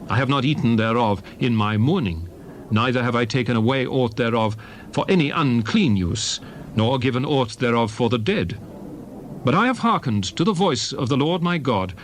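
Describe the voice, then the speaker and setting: A man narrating scripture as a dramatized reading, solemn, measured and declamatory. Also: mid-range voice, slight background noise. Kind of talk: dramatized Bible reading in archaic English.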